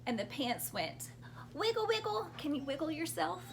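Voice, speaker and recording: A woman's voice: high voice, lively intonation, normal speed, creaky, noisy background. A woman reading a story aloud to young children expressively, prompting them to join in as she goes. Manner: interactive, prompting children with questions and sound words.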